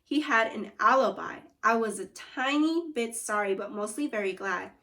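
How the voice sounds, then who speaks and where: A woman's voice: a little high, animated, moderate pace, fairly bright tone. A woman teacher reading a storybook aloud to young pupils and talking to them about it. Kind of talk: animated read-aloud and lesson talk to children.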